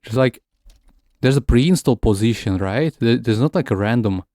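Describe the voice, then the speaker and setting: A man's voice: medium pitch, animated, moderate pace, warm tone, no echo, clean studio sound. Stammering man chatting on stream. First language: Russian. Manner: stammers, repeats words; switches between English and Russian. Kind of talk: casual gaming stream chat.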